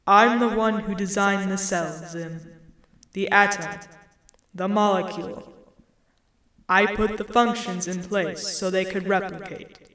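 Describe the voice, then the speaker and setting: A woman voicing a calm, almighty disembodied male creator in a story, solemn, measured and patient, with an echo effect. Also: mid-range voice. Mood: assured, explaining. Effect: reverberant echo on the voice. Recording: echoing reverberant sound.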